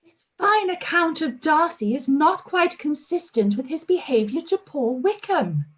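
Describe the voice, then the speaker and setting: Radio play: woman as a lively aunt, high, animated voice. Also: unhurried. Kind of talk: live-read radio play.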